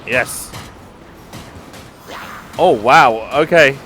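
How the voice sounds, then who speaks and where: A man's voice: mid-range voice, extremely slow, a bit bright. A man giving offhand running remarks in a casual let's play commentary. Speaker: gamer commenting while playing. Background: game music.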